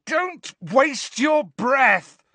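A man's voice: lowish voice, drawn-out words, pushed and bright, pitched below the performer's own voice, full character voice. A man voicing a hot-headed teenage boy wizard in an audiobook, raising his voice in defiant, mocking outbursts. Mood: goading. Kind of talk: audiobook character voice.

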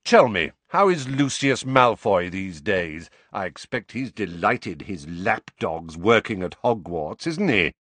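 A man's voice: on the low side, sing-song, slow, few pauses, no room sound, heavily acted. A man reading an audiobook and voicing an aggressive, hot-tempered male wizard who is protective of a boy. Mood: furious. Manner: aggressive, loud, confrontational.

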